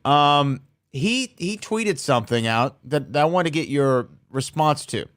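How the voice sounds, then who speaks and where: A man's voice: a little high, lively intonation, a little slow, a bit bright, dry recording, studio quality. An American man co-hosting a podcast in a casual, conversational style, setting up topics and asking questions. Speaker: podcast co-host.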